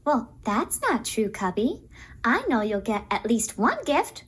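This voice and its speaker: A woman's voice: on the high side, very animated, a little slow, breathy edge, exaggerated voice. A woman voicing a kind young female lamb in a children's puppet show, sweet, girlish and reassuring.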